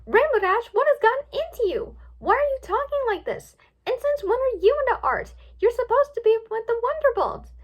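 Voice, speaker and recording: A storybook pony princess, acted out by a woman, with a high, shrill pitch. She is earnest and easily indignant, exclaiming emphatically with big swings in tone. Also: very animated, normal speed.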